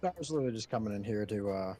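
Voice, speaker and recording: A man's voice: lowish voice, animated, rapid-fire, warm tone, faint music behind. A man chatting casually and teasingly as a guest co-player on a game livestream. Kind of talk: casual chat.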